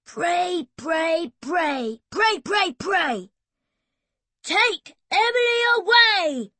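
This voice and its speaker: A woman voicing a hot-tempered young boy who shouts angrily. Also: very high voice, expressive, very slow, dry recording, pitched above the performer's own voice, exaggerated voice. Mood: frenzied. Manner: shouting, furious.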